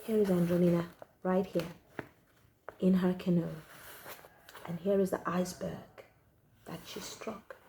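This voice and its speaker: A woman's voice: lowish voice, level tone, measured pace, pauses often, dark tone, a little hiss or hum. A woman reading a children's story aloud expressively, drawing out key words for emphasis.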